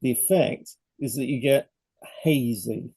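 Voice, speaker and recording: A man co-hosting a podcast, reading scripted educational narration in a measured, explanatory way. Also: mid-range voice, creaky, dark tone, breathy edge, no echo. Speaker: podcast co-host, hypnotherapist.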